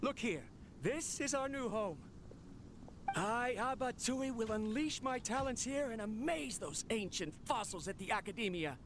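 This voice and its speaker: An eager lone young inventor. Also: male voice, very high-pitched, lively intonation, measured pace, heavily acted. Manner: eager, musing, talking to his machine. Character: young male inventor, ambitious and lonely. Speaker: expelled researcher on a tape. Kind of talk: video game voice acting. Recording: noisy tape recording.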